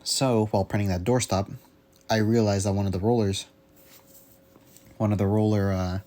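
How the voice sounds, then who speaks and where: Young man, deep voice, casual hobbyist vlog, unscripted, halting delivery, rambling. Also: little pitch movement, dark tone. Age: young adult. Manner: halting, rambling, searching for words. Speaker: hobbyist vlogger. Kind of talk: casual unscripted audio log.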